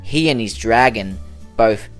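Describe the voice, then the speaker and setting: A man narrating a rhyming children's storybook as host, in an expressive, dramatic storytelling manner. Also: fairly low pitch, a little slow, faint music behind. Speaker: storyteller, host of a children's read-aloud show.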